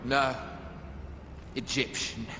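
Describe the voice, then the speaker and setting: An actor playing an arrogant Roman villain with godlike delusions in a video game, taunting and pompous. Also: male voice, medium pitch, flat delivery, drawn-out words, pushed and bright. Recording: echoey hall with clear noise.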